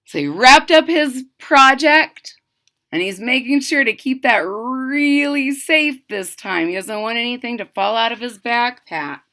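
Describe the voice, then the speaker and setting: A woman's voice: a little high, animated, measured pace, bright, forceful tone. A woman reading a children's picture book aloud expressively, adding simple casual asides to explain things to young listeners. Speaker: storybook reader.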